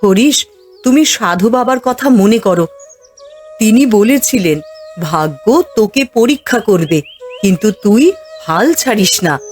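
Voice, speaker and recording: A woman voicing a caring, cautious village wife in a cartoon fairy tale, gently giving advice. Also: medium pitch, expressive, unhurried, soft background music, close to an everyday voice. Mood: encouraging.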